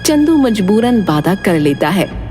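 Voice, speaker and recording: A woman's voice: medium pitch, big pitch swings, measured pace, over music. A woman narrating a scripted dramatic tale as the storyteller.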